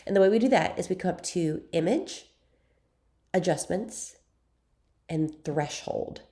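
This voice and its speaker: A young woman hosts an editing tutorial, her voice raspy and stuffed up from a cold. She speaks in a low, dark tone with a gravelly edge. Her delivery is chatty and self-deprecating, with plenty of ums between steps. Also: animated, unhurried. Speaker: photography tutorial host. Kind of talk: screen-recorded software tutorial.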